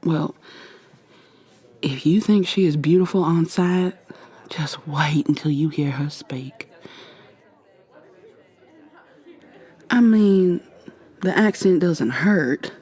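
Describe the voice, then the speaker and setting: A woman with an American accent playing a playful, flirty modern witch in an intimate ASMR roleplay, speaking softly and teasingly to the listener. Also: low-pitched, husky, heavily acted. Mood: smitten, playful. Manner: teasing, affectionate, conversational with trailing pauses. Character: playful, flirty modern witch mentoring a new coven member.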